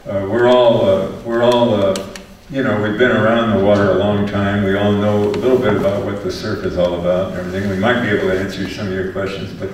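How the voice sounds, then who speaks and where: A man's voice: fairly low pitch, little pitch movement, quick pace, hardly any pauses, slight background noise. An older man and former teacher moderating a surf panel, telling rambling stories with many restarts. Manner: chatty anecdotes with many restarts. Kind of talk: storytelling on a panel. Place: event hall with audience. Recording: echoey hall, voice from far off.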